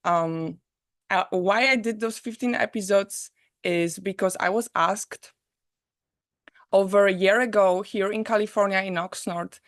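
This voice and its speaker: A woman from Poland with a Polish accent hosts a live online premiere and guides listeners through a gentle reflection in a soft, inviting way over a video call. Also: medium pitch, no echo, clean studio sound. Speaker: host.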